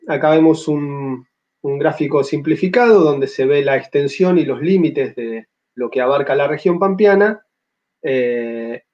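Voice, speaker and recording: A man in his thirties with an Argentine accent, giving a measured academic slide talk over a video call, pausing often with filler sounds. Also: fairly high pitch, darkish tone. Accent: Argentine Spanish. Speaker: paleontology lecturer. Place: home room on a video call. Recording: slightly dull lo-fi audio.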